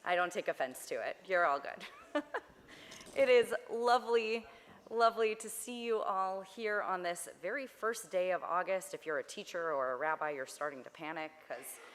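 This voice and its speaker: Woman, young adult, lowish pitch, slightly hoarse, chatty service leader, long sanctuary reverb. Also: normal speed. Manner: chatty and friendly, many fillers. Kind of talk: leading a worship service. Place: synagogue sanctuary. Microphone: body mic.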